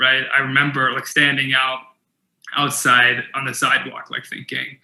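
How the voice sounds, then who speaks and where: A young man speaks in an earnest keynote, with stuttered repeats and "um"s breaking up his phrases. His voice is very bright and forward, and it rings a little in an echoey room. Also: mid-range voice, completely flat delivery, brisk, vocal fry. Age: young adult. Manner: earnest, with stutter repetitions and fillers. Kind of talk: motivational keynote talk.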